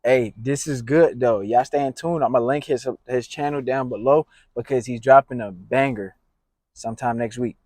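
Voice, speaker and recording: A man's voice: mid-range voice, moderate pace, darkish tone, no room sound, studio quality. A man chatting casually as a prank vlogger, brash and slangy, with frequent swearing. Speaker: prank video creator. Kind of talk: casual profane vlog chat.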